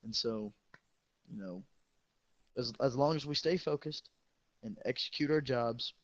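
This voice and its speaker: A man's voice: mid-range voice, unhurried, dry recording. Dull lo-fi teenage guy swapping stories. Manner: profane, boastful, amused at own stories. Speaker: high school football player telling stories. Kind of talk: casual storytelling chat. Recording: dull lo-fi sound.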